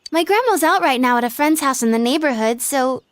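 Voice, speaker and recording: Teenage idol girl, high voice, American accent, cutesy, coy, confident, playful anime game style. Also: expressive, brisk, no room sound. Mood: affectionate, teasing. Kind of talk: anime video game dub acting.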